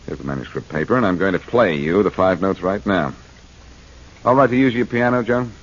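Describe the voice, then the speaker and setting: An actor playing a confident, wisecracking amateur detective in a 1940s radio drama, speaking in a glib, theatrical way. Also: male voice, low voice, animated, a little fast. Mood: dramatic. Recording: muffled, noisy 1940s radio recording.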